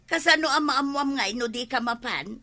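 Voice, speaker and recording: An actress playing a pushy, scheming village wife with a bossy, insistent manner in a radio comedy drama. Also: female voice, sits high, normal speed, sharp tone.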